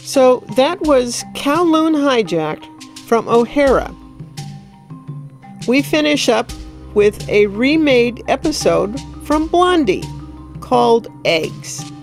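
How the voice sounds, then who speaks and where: A woman's voice: high-pitched, very animated, slow pace, gravelly. A woman presenting a radio show, reading scripted announcements and credits over faint music. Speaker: presenter and director.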